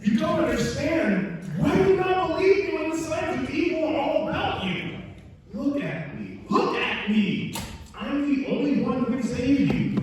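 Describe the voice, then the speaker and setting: A man's voice: very high-pitched, very animated, unhurried, a little mellow, pitched above the performer's own voice, full character voice. A man playing a desperate, frantic doomsayer warning of evil in a dramatic scene, recorded in a big echoey room. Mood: urgent pleading. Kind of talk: dramatic audition scene reading. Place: large echoey convention room. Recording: very echoey hall, far mic, faint noise.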